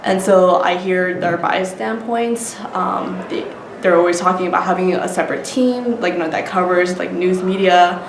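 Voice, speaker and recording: A woman's voice: lowish voice, moderate pace, few pauses. A woman with an American accent answering interview questions casually, peppering her speech with "like" and "you know". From United States. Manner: frequent "like" and "you know", informal. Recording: noisy, echoey room.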